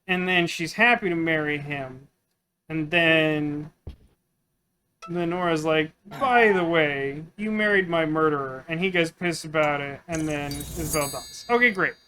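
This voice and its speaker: A man's voice: on the high side, a little slow, bright, forceful tone, a little hiss or hum. A man doing casual let's play commentary, musing and thinking aloud as he works through puzzles. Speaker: gaming video host.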